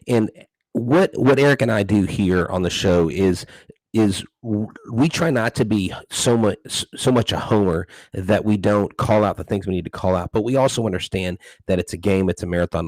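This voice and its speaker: Deep-voiced man co-hosting a sports podcast. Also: normal speed, darkish tone, no echo, poor audio. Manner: rambling, many restarts and fillers. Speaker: podcast co-host. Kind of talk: casual sports podcast chat.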